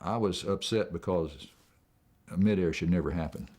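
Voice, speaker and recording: A middle-aged man, an airline pilot, recounting his experience in an interview in a measured, matter-of-fact way. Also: sits low, a little mellow.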